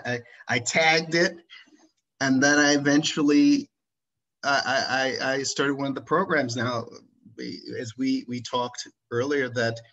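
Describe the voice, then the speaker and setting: A man's voice: mid-range voice, a bit bright, rough recording. A man hosting an online interview, chatty and rambling, with frequent restarts. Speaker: interview host. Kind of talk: casual video interview.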